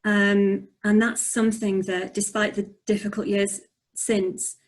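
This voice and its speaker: Young woman w/ a slight yorkshire accent, quiet n thoughtful, lots of ums, on a video call. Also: medium pitch, level tone, unhurried. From north of England. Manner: soft-spoken, reflective, frequent ums. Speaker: poet and author. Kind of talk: conversational interview answers.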